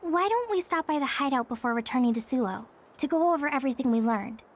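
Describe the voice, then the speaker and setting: A woman's voice: high voice, lively intonation, fast. An actress voicing a polite, cautious and concerned young woman squad member in an English-dubbed anime game. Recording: game music behind the voice.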